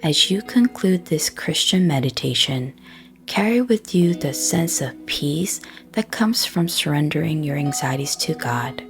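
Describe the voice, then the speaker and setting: Slow, low-voiced woman guiding meditation. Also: lively intonation, faint music behind. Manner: soft, breathy, unhurried delivery with frequent pauses. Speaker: guided meditation and prayer leader.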